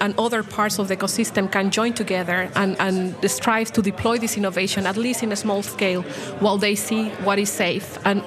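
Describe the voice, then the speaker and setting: A woman in her forties from Latin America, a bank program director speaking as an interview guest, passionate and full of restarts and fillers. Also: medium pitch. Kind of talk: podcast interview.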